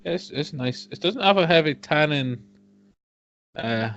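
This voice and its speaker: A man's voice: a little high, animated, unhurried, gravelly. Dry-humoured man tasting whisky. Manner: dry, hesitant, trails off quietly. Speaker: podcast co-host. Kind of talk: casual tasting chat. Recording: dull lo-fi home podcast audio.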